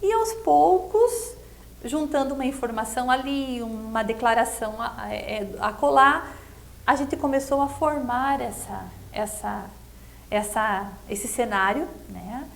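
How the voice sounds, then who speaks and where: A woman's voice: on the high side, unhurried, vocal fry. A Brazilian woman giving conversational political commentary in an earnest, explanatory way, often checking for agreement with tag questions. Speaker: interview guest, political commentator.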